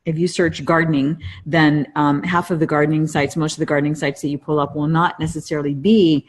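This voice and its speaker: A woman's voice: deep voice, little pitch movement, fairly quick, slight background noise. A woman co-hosting a podcast, explaining things casually in a wordy way and rephrasing herself as she goes. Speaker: podcast co-host.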